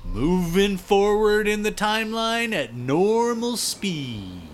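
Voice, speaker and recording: A man's voice: high-pitched, very animated, slow pace, a little hiss or hum, heavily acted. A man playing an easygoing, chatty diner cook in an audio drama, with a casual, offhand delivery. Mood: obliging, grudging. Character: easygoing, chatty diner cook who pilots time travel.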